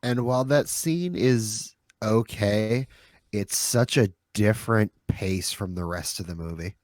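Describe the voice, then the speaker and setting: A man with a slightly low voice takes part in a casual film podcast. He chats as a co-host, and the recording sounds dry. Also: a little slow, a little mellow, no echo. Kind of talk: casual group chat.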